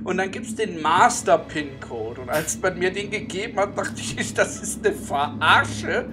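A man's voice: high voice, lively intonation, a little slow. Stammering man running a tabletop game. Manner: stammering, repeats words while explaining. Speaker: game master. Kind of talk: casual tabletop game narration and banter. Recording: music playing under the voice.

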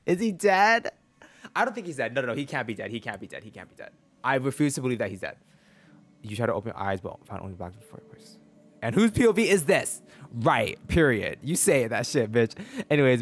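Young guy streamer, low creaky voice, sassy n dramatic, reacting to a game. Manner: theatrical, sassy slang, exclamatory. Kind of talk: live reaction commentary, reading game text aloud. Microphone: close streaming mic, seen on webcam.

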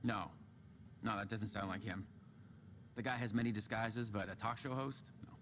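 A man's voice: lowish voice, fairly even intonation, normal speed, a bit bright, slight background noise, lightly acted. An actor playing an affable, puzzled lawman stranded in a dream world, in a naturalistic video game style. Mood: puzzled, determined.

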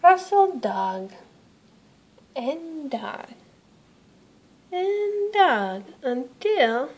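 A woman reads a children's storybook aloud at a slow pace. Her voice sits rather high and she tells the tale expressively, pausing for drama. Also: big pitch swings, a little mellow. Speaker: storybook reader.

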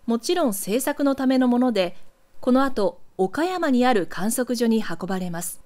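A woman with a fairly high voice narrates archival news footage. She reads from a script in a measured, explanatory manner, enunciating each phrase clearly. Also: lively intonation, a little mellow.

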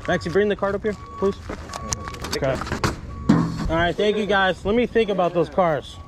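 Male flea-market vlogger, slightly high voice, friendly and casual, recorded outdoors amid very loud background noise. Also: normal speed. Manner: polite, friendly, often repeats back prices. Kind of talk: casual chat with vendors. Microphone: action camera worn by the speaker. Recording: noisy outdoor street market. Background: loud market and street noise.

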